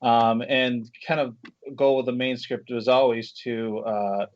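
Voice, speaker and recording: A man being interviewed as a guest screenwriter and director, answering in a relaxed way with frequent ums and restarts. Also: mid-range voice, measured pace, no room sound, highs cut off. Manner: relaxed, many fillers and restarts.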